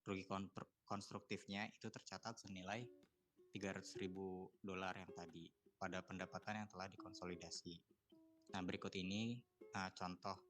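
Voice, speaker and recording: A man giving a student presentation in a halting, hesitant way. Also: low-pitched, fairly bright tone. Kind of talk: presentation explaining accounting slides. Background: guitar music.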